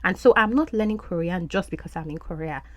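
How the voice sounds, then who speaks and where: A woman whose first language is Yoruba, hosting a podcast as an English language coach and speaking in an animated, encouraging way. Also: fairly low pitch, fairly quick, no room sound. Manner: animated, encouraging teacher's delivery.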